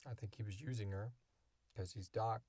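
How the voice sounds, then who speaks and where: A man's voice: fairly low pitch, fairly even intonation, normal speed, darkish tone. A man hosting a podcast, answering questions in casual, unscripted talk from a small booth. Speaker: podcast host and creator. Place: small enclosed recording booth.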